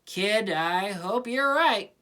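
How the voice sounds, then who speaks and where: A woman's voice: mid-range voice, expressive, slow pace, pushed and bright. Woman doing tomboyish cartoon girl, frank, world-weary, plain-spoken, up-and-down melody. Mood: resigned.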